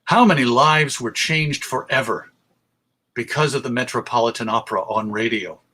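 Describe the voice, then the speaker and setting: A man's voice: mid-range voice, normal speed, bright, forceful tone, slightly rough. A man presenting an informal history talk as host, enthusiastic and emphatic as he lists examples. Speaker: presenter, radio show host.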